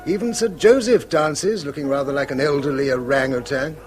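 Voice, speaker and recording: An actor with an English accent playing a dry, witty middle-aged schoolmaster in a radio drama, with a wry, bookish manner. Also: male voice, on the high side, a little fast, faint music behind, close to an everyday voice. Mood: wry, genial. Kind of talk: British radio drama acting.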